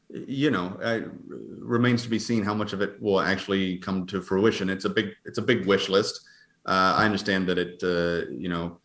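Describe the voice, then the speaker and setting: A man with a fairly low voice gives conversational interview answers as an astronomer. He speaks in a halting, hedging way, feeling out his phrases as he goes. The recording is roomy, with clear echo around the voice. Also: a bit bright. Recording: roomy, echoing sound.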